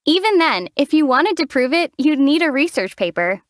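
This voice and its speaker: A young woman voicing a calm, dry-witted heroine in a game, teasing her companion in a deadpan way. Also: high voice, normal speed, slight background noise. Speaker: player character, traveler heroine. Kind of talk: game voice acting.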